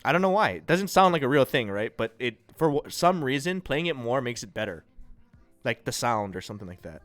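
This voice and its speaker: A congested, nasal-sounding man from the United States hosting a livestream, chatting casually and rambling through viewers' comments with a relaxed, self-deprecating manner. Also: medium pitch, a little fast, no echo. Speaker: livestream host. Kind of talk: casual chat reading and answering viewer chat.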